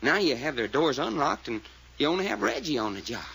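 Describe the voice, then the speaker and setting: An actor playing a folksy, grumbling, excitable sidekick detective with a Southern US accent in a 1940s radio serial, full of exasperated questions. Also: male voice, medium pitch, brisk, gravelly, breathy edge, heavily acted. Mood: puzzled. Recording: noisy old-time radio recording.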